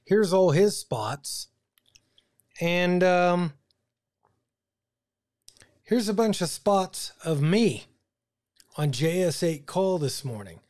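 An American man in his fifties giving a casual, halting explainer to camera as a video host, thinking aloud in an informal way. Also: high voice, expressive, a little mellow. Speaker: ham radio video host. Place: small home radio room, indoors. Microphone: desk broadcast microphone on boom arm.

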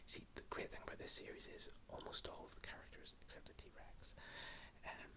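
Northern irish guy whispering right up on the mic, rambly and laid back, little wind pops. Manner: rambling, unhurried, self-deprecating. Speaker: ASMR creator. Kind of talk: close-up whispered ASMR. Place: indoors at a table. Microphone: close mic with wind pops.

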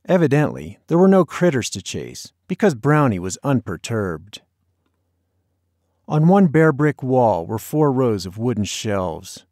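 Male audiobook narrator, measured pace, lively pitch movement, close dry sound. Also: no echo. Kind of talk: scripted storytelling narration.

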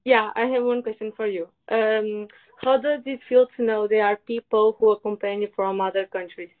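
A woman's voice: medium pitch, steady tone, normal speed. A young woman from Portugal with a Portuguese accent, speaking English as a second language, nervous and giggly as a guest joining a livestream by phone. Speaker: viewer guest on stream. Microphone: phone video call. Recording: tinny phone-like audio.

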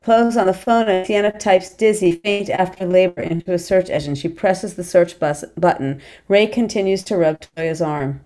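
Female narrator, fairly low voice, reading screenplay directions. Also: normal speed, few pauses, a little mellow. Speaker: stage directions reader. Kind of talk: scripted narration.

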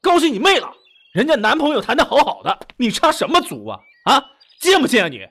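A man's voice: on the low side, big pitch swings, brisk, noisy background. An actor in an audio drama playing a modern young man who is doting, exasperated and secretly lovesick. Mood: furious, jealous.

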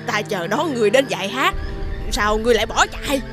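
A woman's voice: on the high side, animated, fast, close to an everyday voice. A woman voicing an imperious demon mother in a children's fairy-tale cartoon, with a commanding, haughty manner. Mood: amused. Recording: music behind the voice.

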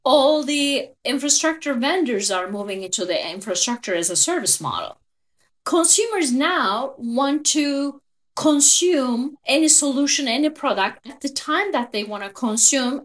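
A woman in her fifties from Turkey with a Turkish accent, a tech executive telling her career story earnestly as an interview guest over a video call. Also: sits high. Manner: earnest, storytelling, occasional word searches. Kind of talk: conversational interview.

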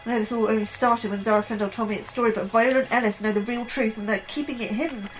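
An actress playing an anxious, troubled woman scientist in an audio drama, confiding her fears in a hesitant, halting way. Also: on the high side, fairly even intonation, faint music behind, natural voice, rough recording. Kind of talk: audio drama acting, diary-like monologue and dialogue.

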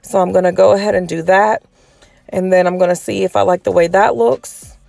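A woman giving a casual step-by-step tutorial, sounding a little raspy and hoarse from a cold. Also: fairly low pitch, fairly even intonation, fairly quick, darkish tone, no echo. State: getting over a cold, slightly hoarse. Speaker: tutorial host.

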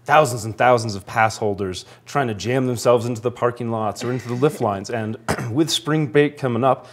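Easygoing man pitching as a TV guest. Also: moderate pace. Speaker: ski area spokesman, TV guest. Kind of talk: casual promotional interview talk. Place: small TV studio, seated on couch.

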